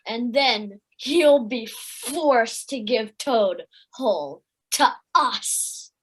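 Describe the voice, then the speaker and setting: A woman's voice: on the high side, lively intonation, very slow, slightly rough, exaggerated voice. A woman playing a dim, greedy villain's sidekick in an audio play, with a gormless manner. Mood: gloating, dim. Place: living room, recorded over video call.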